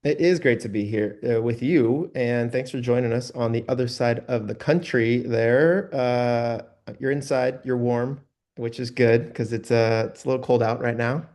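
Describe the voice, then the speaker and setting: A man's voice: mid-range voice, moderate pace, a little mellow. A man hosting a podcast, chatting with a guest in an upbeat, friendly interviewer's way. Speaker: podcast host.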